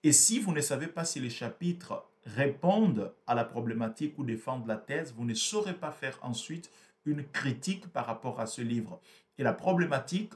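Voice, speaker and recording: A man whose first language is Portuguese, explaining a book in a hesitant, repetitive way with many fillers. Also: mid-range voice. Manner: hesitant, repeats words, frequent fillers. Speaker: book reviewer. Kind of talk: explanatory book review talk.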